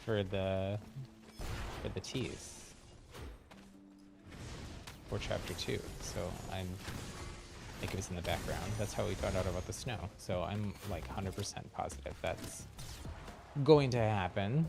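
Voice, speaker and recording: Low-pitched man chatting through a game stream. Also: measured pace, vocal fry, long pauses, loud music behind the voice. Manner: chatty, hesitant, thinking aloud. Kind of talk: casual live gameplay commentary.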